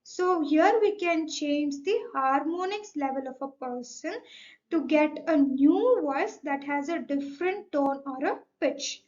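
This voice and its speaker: A young woman with a high-pitched voice delivers a formal student presentation. She explains in a scripted, academic way, often opening sentences with "so". The recording is dull and slightly muffled. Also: a little slow, darkish tone.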